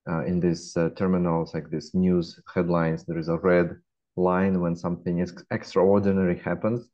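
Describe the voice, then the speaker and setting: A man in his forties with a Russian accent, answering interview questions in a measured way with frequent hesitations, heard over a webcam call. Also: deep voice, flat delivery, warm tone. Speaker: guest, fintech founder. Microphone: laptop webcam. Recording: dull lo-fi call audio.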